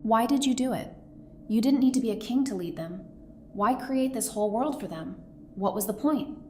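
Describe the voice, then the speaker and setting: A woman's voice: mid-range voice, a little fast, a little mellow, a little hiss or hum, lightly acted. A woman playing a caring, candid, wry survivor in an audio drama, speaking earnestly and expressively. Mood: concerned.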